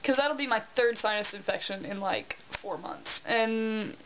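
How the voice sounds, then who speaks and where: A tired young woman chatting into her camera at home in a rambling, self-deprecating way. Her voice is congested and a little rough from a sore throat. The lo-fi recording sounds dull, with a faint hiss underneath. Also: medium pitch, unhurried. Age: young adult. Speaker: vlogger. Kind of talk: casual vlog chat.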